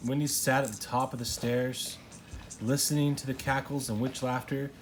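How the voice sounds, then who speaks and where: A man's voice: mid-range voice, level tone, normal speed, soft background music, low-quality recording. A father reading a storybook aloud in narration, recorded at home. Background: household noise, young child interjecting.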